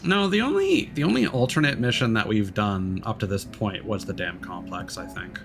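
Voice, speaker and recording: A man's voice: fairly low pitch, big pitch swings, normal speed, gravelly. A man livestreaming a game, chatting casually in an easygoing, rambling, hesitant way. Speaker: live streamer. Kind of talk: casual game stream chat.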